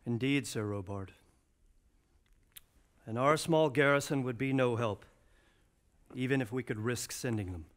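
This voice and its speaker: A man's voice: mid-range voice, close to an everyday voice. Man playing grave, commanding castle lord. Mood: grave, questioning. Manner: measured, commanding. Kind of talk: dramatic video game cutscene acting.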